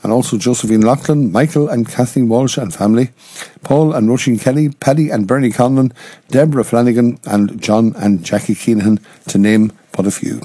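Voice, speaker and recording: A man presenting a radio show, hoarse and croaky with a sore throat, chatting and reading out listener dedications. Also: mid-range voice, normal speed, dark tone, no echo. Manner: chatty, reads out listener names.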